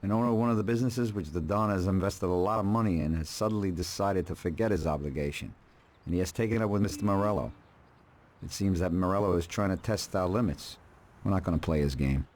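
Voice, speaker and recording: A man playing a calm, calculating middle-aged mob advisor in a video game, speaking in a dry, deliberate, matter-of-fact way. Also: sits low, slight background noise, natural voice. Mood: businesslike. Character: calm, calculating male mafia consigliere, drily ruthless.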